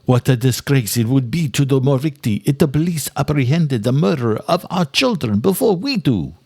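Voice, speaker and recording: A man's voice: mid-range voice, sing-song, moderate pace, gravelly, a little mellow, slightly rough, dry recording, clean studio sound, pitched above the performer's own voice, exaggerated voice. An angry vampire duke, acted by a male narrator challenges those above him. He sounds formal, blunt and accusing, in a dramatic audiobook style.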